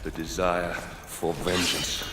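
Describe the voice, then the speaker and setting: An actor playing a grim, vengeful Roman general in a video game, speaking in a solemn, deliberate, declamatory way. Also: male voice, low-pitched, level tone, heavy background noise. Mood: grim, resolute. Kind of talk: dramatic video game acting. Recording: echoey hall reverb, music behind.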